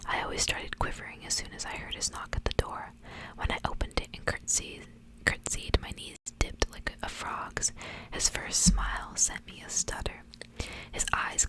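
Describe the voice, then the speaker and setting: A woman reading a literary story aloud in a breathy, hushed whisper, in the gentle narrating style of an ASMR reading. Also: low-quality recording.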